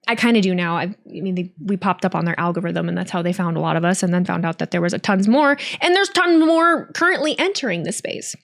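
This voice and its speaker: A young woman hosting her own podcast, talking candidly and rambling, often correcting herself. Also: medium pitch. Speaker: wrestling streamer and podcast host. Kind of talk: casual solo podcast talk.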